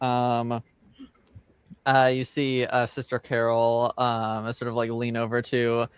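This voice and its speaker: A man narrating his character's actions in a tabletop game, halting as he searches for words. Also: medium pitch, monotone, smooth, dry recording. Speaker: game player.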